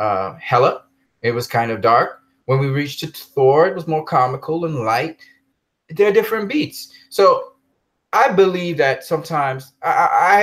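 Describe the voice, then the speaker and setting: A man's voice: mid-range voice, a bit bright. A man hosting a casual chat about films, speaking with enthusiastic emphasis.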